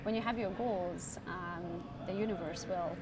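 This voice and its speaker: A woman's voice: lowish voice, fairly even intonation, normal speed. A woman in her forties from Transylvania, Romania, a podcast guest telling stories in a chatty way and often tagging on "right?". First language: Hungarian. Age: 40s. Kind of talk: casual interview chat. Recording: loud background noise.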